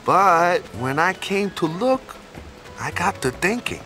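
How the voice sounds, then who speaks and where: A man's voice: sits high, big pitch swings, slow, bright, forceful tone, faint music behind, heavily acted. An actor playing a good-hearted, boneheaded island man in a video game, talking in a casual, folksy way with tag questions. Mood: reflective, unsure. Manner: folksy and casual, tags sentences with "yeah?" and "you know?".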